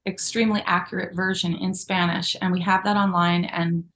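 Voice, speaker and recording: A woman's voice: fairly low pitch, level tone, normal speed, a bit bright. A middle-aged woman, co-founder of a magazine, talking in an interview with a grateful, storytelling manner full of asides. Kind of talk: conversational interview.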